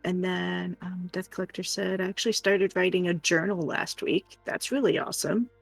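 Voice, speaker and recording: Creaky-voiced woman casually reading chat. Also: lowish voice, steady tone, normal speed. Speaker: livestream co-host reading chat. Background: music.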